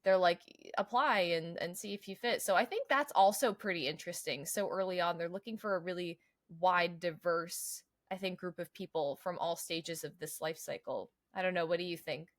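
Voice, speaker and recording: A woman's voice: on the low side, moderate pace, hardly any pauses, no echo, studio quality. An American woman co-hosting a podcast, chatting casually in an agreeable, conversational way. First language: English. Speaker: podcast co-host.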